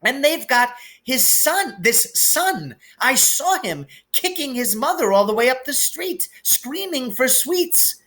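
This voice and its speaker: Give the storybook's older witch professor, as played by a man reader, a high, slow voice. She is a stern, anxious woman, easily astonished and quick to disapprove. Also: very animated, sharp tone, exaggerated voice. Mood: shocked, indignant.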